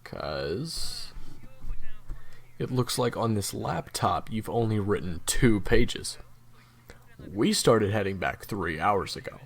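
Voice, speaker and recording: Casting: man to play a sarcastic young former assassin, foul-mouthed roommate, slow pace, very lively pitch. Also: creaky, breathy edge, exaggerated voice. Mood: exasperated. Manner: slangy, bantering, foul-mouthed. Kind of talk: audio drama roleplay dialogue.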